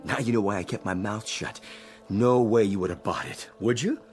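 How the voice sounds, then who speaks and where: A man's voice: lowish voice, normal speed, a little mellow, faint music behind, lightly acted. An actor playing a cocky, teasing male space explorer in a radio drama, boastfully and eagerly showing off his secret find. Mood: smug, excited.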